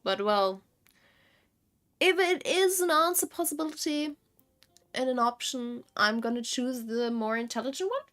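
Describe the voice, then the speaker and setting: A woman giving casual gameplay commentary as a streamer, chatty and thinking aloud in halting bursts. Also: on the high side, fairly bright tone. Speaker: game streamer.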